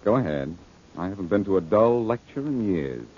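An unflappable wisecracking sleuth on a muffled old radio show. His husky, lowish voice lilts up and down freely, glib and mocking. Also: very animated, normal speed, darkish tone. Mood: mocking, breezy. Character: flippant, wisecracking, unflappable male amateur detective. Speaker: lead actor in a detective radio drama. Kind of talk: old-time radio detective acting.

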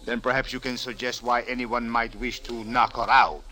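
An actor playing a patient, formal Cairo police captain in a 1940s radio drama, with precise, official delivery. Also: male voice, medium pitch, level tone, moderate pace, pushed and bright, close to an everyday voice. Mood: questioning. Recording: old radio drama recording.

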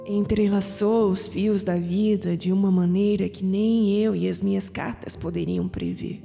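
A woman playing a wise, solemn old mystical grandmother with a Brazilian accent in an audio drama, speaking in a measured, prophetic way. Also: medium pitch, gravelly, dark tone. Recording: long reverb, music behind.

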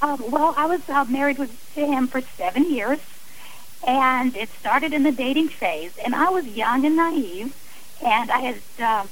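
A woman's voice: high voice, expressive, measured pace, fairly bright tone, poor audio. A woman speaking as an author and guest on a radio interview, earnest and emphatic, often repeating phrases for stress.

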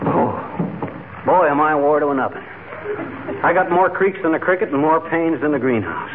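An actor playing a grumbling, excitable middle-aged husband in 1940s radio comedy, with an American accent and a folksy, complaining manner. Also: male voice, on the high side, moderate pace, over a noisy crowd, natural voice. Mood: weary, grumbling. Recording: tinny, very noisy old radio broadcast.

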